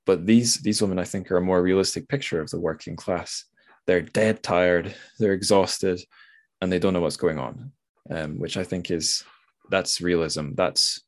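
A man hosting a casual literary discussion, thinking aloud and correcting himself as he goes. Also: low-pitched. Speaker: podcast host.

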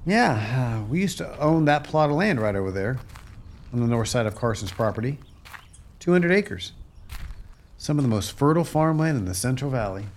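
Actor as a weary American farmer, folksy, rueful, plainspoken, radio play, middling pitch, background noise. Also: male voice, animated, normal speed, lightly acted. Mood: nostalgic pride. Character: bankrupt, unmarried farmer, plainspoken, weary, from a ranching family.

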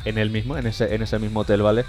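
A man's voice: fairly low pitch, level tone, quick pace, a little mellow, soft background music. A man from Spain with an Extremaduran accent, co-hosting a casual podcast chat and rambling with many restarts and fillers. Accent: Spanish, Extremaduran. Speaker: podcast co-host.

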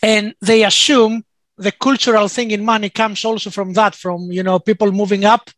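A man with a Greek accent, a native Greek speaker chatting casually in English, talking fast and telling stories with many "uh" pauses. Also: high voice, level tone, a bit bright, no room sound. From Greece. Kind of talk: casual chat.

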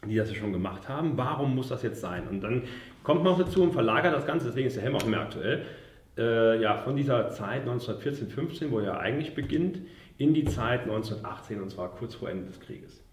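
A man sits some way from the mic in an echoey room, chatting about film. His voice is of average pitch. He talks in a halting, searching way and often tacks on little questions to check agreement. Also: lively intonation, recorded from a distance, a little hiss or hum. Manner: halting, frequent tag questions. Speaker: podcast host and military historian. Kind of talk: casual film discussion.

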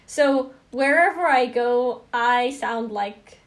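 A woman's voice: a little high, slow. A young woman co-hosting a podcast with an accent between American and British. She teases in a friendly way and laughs easily. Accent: mixed American and British. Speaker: podcast co-host. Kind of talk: casual chat.

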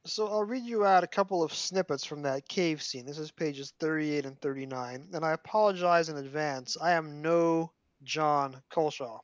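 A man's voice: on the high side, moderate pace, few pauses, dry recording, muffled recording. A man with a scratchy voice and a Brooklyn accent, hosting a podcast chat and reading passages aloud from a book. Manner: animated storyteller, mock dramatic. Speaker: podcast host.

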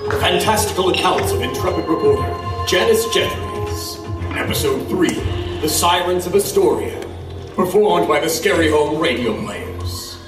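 A man's voice: on the high side, animated, moderate pace. A man announcing scripted credits for a radio drama. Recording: very echoey, distant, loud music and crowd.

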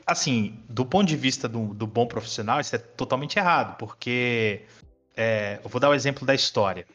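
A man's voice: medium pitch, moderate pace, a bit bright. Brazilian man in his late twenties, casually explaining. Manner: informal, explanatory, sprinkled with slang and swearing. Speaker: history teacher and podcast guest. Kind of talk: casual conversation.

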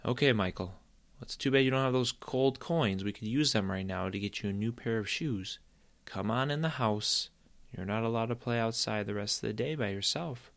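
A man's voice: fairly low pitch, moderate pace, vocal fry, fairly bright tone. A man voicing a worried mother scolding her young son, skeptical of his tale.

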